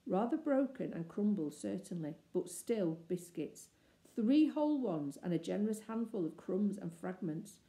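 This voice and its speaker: A woman reading a children's story aloud as expressive narration. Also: mid-range voice, moderate pace. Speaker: storybook reader. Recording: roomy, echoey indoor sound.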